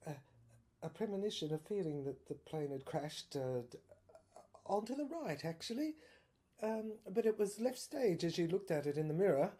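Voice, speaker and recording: A woman playing a haunted, bewildered officer in a dramatic monologue, stammering through visions in halting fragments and drifting into breathy whispers. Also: deep voice, warm tone. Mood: halting, musing. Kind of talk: dramatic first-person monologue.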